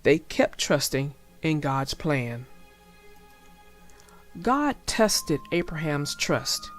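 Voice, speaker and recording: Female read-aloud narrator for children's stories; unhurried, deliberate, faint music bed. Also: sits low, very animated. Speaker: storybook narrator.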